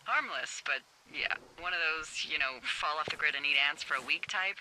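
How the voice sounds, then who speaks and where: Bright, wry bossy woman lookout. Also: sits low, normal speed, a little hiss or hum, low-quality recording. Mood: wry, matter-of-fact. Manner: teasing, sardonic, casual. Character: wry, bossy, foul-mouthed female fire lookout supervisor. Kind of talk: naturalistic video game dialogue.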